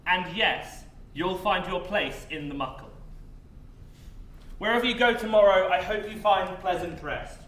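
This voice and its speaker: A man's voice: sits high, a little slow, fairly bright tone. An actor playing a droll, officious male overseer, giving formal and pedantic announcements in a stage play. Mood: solemn, kindly. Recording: roomy distant stage sound with noise.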